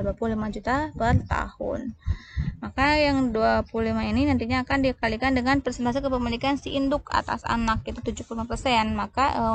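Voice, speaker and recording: Woman, slightly high pitch, informal lecturer, question-and-answer explaining, light background noise. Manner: asks and answers own questions, checks with listeners. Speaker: accounting lecturer. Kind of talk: informal explanatory tutorial narration.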